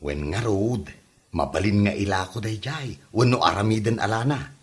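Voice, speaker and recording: A man acting a reluctant, grumbling working husband from a village in a comedy drama, with a husky voice and an Ilocano accent. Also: lowish voice, moderate pace.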